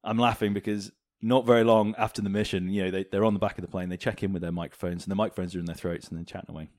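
A man from Hong Kong chatting casually as an interview guest, telling anecdotes in an animated way. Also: deep voice, very fast, no echo. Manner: animated, chuckles as he tells anecdotes. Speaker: interview guest, former fighter pilot. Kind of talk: casual storytelling in a podcast interview.

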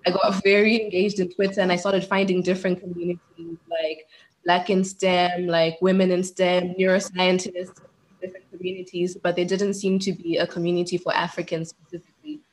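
A woman in her twenties from Zimbabwe speaks with a lowish voice. She gives an interview as a doctoral researcher. She talks in a measured, reflective way and chooses her words with care. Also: flat delivery, poor audio. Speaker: guest, PhD researcher. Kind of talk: interview over a call.

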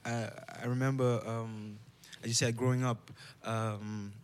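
A young man with a Dutch accent, speaking English as his second language as a podcast guest. He talks in a thoughtful, unhurried way, full of fillers and restarts as he gropes for the right words. His voice sits at an ordinary middle pitch. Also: level tone, a little hiss or hum. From Netherlands. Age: young adult. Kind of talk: casual conversation.

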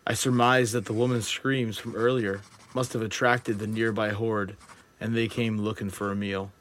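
A man playing a wary, detached survivor of an apocalypse, narrating a journal in a deliberate, reflective audio drama style. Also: fairly low pitch, flat delivery, fairly bright tone, slight background noise, natural voice. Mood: uneasy, reflective. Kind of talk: scripted first-person dramatic narration.

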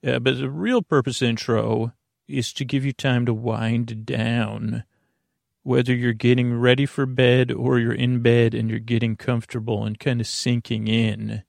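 A male podcast host with a gravelly, creaky voice rambles through an improvised bedtime monologue. He is halting, reassuring and self-deprecating, recorded dry and close with no room sound. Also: medium pitch, little pitch movement, darkish tone, no room sound.

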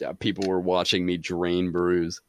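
A man chatting casually about games as a podcast guest, excitable and saying "dude" often. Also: sits low, normal speed, no room sound. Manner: excitable, says "dude" a lot. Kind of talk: casual gaming chat.

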